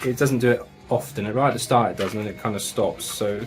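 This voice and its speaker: A man's voice: on the low side, quick pace, soft background music. A man casually reviewing metal records and tapes, enthusiastic and chatty, with slang and swearing.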